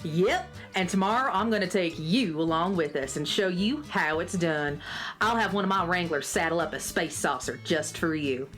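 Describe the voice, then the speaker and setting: A woman's voice: fairly low pitch, normal speed, a bit bright, faint music behind, close to an everyday voice. Woman playing a confident, folksy space cowgirl boss. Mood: folksy, instructive. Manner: folksy cowboy drawl and slang. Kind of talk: children's radio drama acting.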